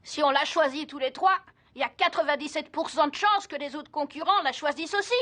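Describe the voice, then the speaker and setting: A woman's voice: high voice, fairly quick, bright, forceful tone. A woman voicing a confident, competitive schoolkid in a cartoon. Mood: cocky. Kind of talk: French animated series dub.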